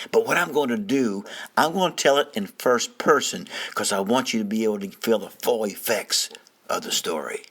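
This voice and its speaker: A man narrates in a dramatic first-person storytelling style. His delivery is folksy and colloquial, leaning on key phrases and pausing for suspense. His voice sits at a medium pitch. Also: a bit bright, slightly rough. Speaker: narrator of true stories.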